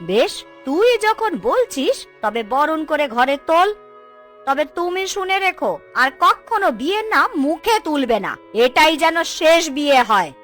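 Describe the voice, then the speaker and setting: Cartoon folk tale: actress as bossy elder co-wife, scolding and commanding, high animated voice over music. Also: female voice, slow, exaggerated voice. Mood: stern. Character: stern, bossy elder co-wife running the household.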